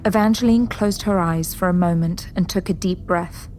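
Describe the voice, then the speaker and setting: A woman giving composed, measured scripted narration for an audio drama. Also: fairly low pitch, darkish tone, a little hiss or hum.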